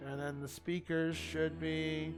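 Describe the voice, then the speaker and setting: A man streaming a video game, talking in a loud, rambling, chatty way to his viewers. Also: fairly high pitch, level tone, takes it slowly, soft background music. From Italian family. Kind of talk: casual gaming livestream chat.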